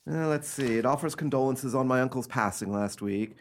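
A man playing an imperious, coolly cruel, excitable gentleman heir in an old-fashioned radio play, speaking in a theatrical, commanding, formal way. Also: mid-range voice, fairly quick, slight background noise, natural voice. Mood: smug, composed.

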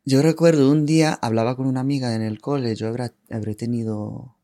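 A man's voice: medium pitch, fairly even intonation, a little fast, dark tone, no room sound, clean studio sound. A man in his twenties from Ohio, a native English speaker, casually telling his personal story as an interview guest. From Ohio, United States. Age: 20s.

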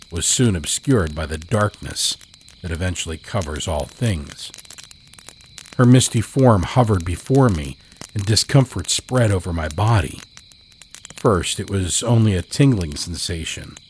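A man voicing a grieving, haunted narrator of a first-person horror story, somber and measured. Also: sits low, slight background noise. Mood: haunted, uneasy. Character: grieving, haunted man recalling his dead loved one. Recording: cricket ambience behind voice. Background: crickets.

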